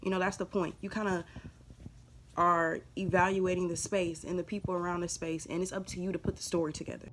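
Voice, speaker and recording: A woman's voice: on the low side, flat delivery, a little fast, slight background noise. A woman from Houston, a student reflecting in a thoughtful, conversational way on making a documentary. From Houston, Texas. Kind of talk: reflective testimonial.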